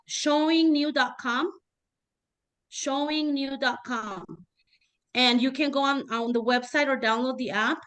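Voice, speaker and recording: A woman on a video team meeting, talking in a quick, offhand way while sharing a tip. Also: sits high, a bit bright. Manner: quick, offhand, slightly scattered. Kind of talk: casual meeting chat. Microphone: video call.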